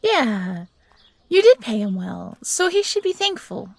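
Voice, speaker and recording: A woman voicing a young fox girl, timid but eager and grateful, soft and breathy, in a scripted audio roleplay. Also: a little high, big pitch swings, measured pace, dry recording, heavily acted. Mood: mildly grumbling, upbeat.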